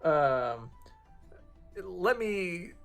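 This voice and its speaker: A man playing a male goblin engineer in his own voice, slowly giving halting advice while recovering from a sore throat. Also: high-pitched, sing-song, creaky, a bit bright, faint music behind, full character voice. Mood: advising. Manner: slow, halting advice-giving with pauses and fillers. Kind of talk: improvised tabletop roleplay monologue.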